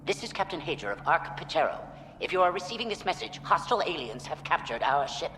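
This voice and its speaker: Urgent alien captain, animated pitch. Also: male voice, fast, full character voice. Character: urgent alien starship captain sending distress message. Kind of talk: video game voice acting. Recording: echoing, noisy distress message.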